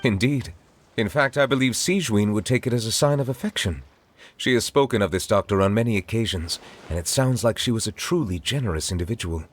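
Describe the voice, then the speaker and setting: Man as a dignified, low-voiced chief judge. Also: lightly acted. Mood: courteous. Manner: formal, measured, courteous. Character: formal, dignified male chief judge, earnest and reserved. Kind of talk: video game voice acting.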